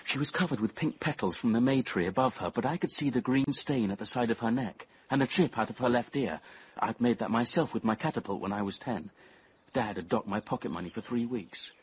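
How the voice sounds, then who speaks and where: An actor playing a brooding, obsessive young man who narrates his confession in measured, intense tones, at times near a whisper. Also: medium pitch, slightly rough, rough recording. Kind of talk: radio drama first-person narration.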